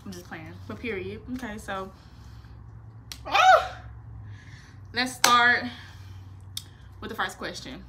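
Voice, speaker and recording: A woman's voice: mid-range voice, slow, long pauses, heavy background noise. A nineteen-year-old woman, chatting casually and playfully in a Q&A vlog from her bedroom. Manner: animated, slangy, playful, expressive hand gestures. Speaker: vlogger. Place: small bedroom.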